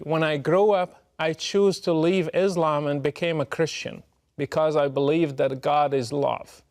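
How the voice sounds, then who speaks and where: A man from Morocco with a Moroccan Arabic accent delivers a prepared political address in an earnest, emphatic and measured way. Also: fairly high pitch, a little mellow.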